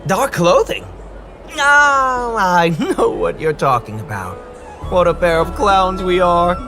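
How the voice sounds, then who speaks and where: Game role: chatty comic street performer, theatrical and wry, very animated delivery, music underneath. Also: male voice, sits high, slow, heavily acted. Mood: wry storytelling. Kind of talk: animated video game voice acting.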